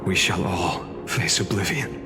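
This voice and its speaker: An actor playing a resolute young hero in a game, earnest, solemn and deliberate. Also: male voice, deep voice, loud music behind the voice. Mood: solemn hope. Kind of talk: dramatic video game acting.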